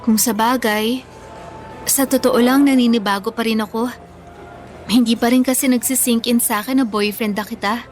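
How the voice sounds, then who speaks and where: A woman's voice: a little high, fairly even intonation, moderate pace, pauses often, faint music behind, lightly acted. A woman with a Filipino accent playing an earnest, plucky bullied teenage schoolgirl in a radio drama. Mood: shy, tender.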